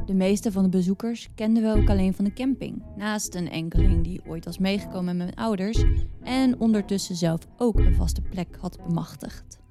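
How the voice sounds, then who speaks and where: A woman reading a scripted personal story in narration style. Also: mid-range voice. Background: music.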